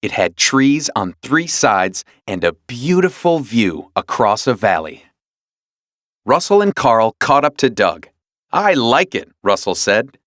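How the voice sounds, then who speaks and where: Male storybook narrator for young readers: animated, dramatic, mid-pitched, dry studio-style sound. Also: slow pace, no room sound. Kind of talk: scripted children's read-along narration.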